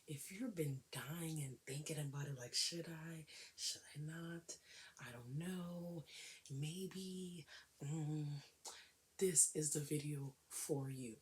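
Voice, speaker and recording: A woman with a raspy, cold-worn voice chatting casually to camera in a hair vlog, often dropping into a whisper. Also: deep voice, darkish tone, a little hiss or hum. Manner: chatty, emphatic, with frequent whispery stretches. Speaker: hair vlogger.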